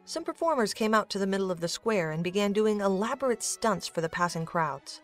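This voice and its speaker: A woman's voice: mid-range voice, expressive, fairly quick, soft background music. A woman giving scripted third-person narration of a story as the narrator.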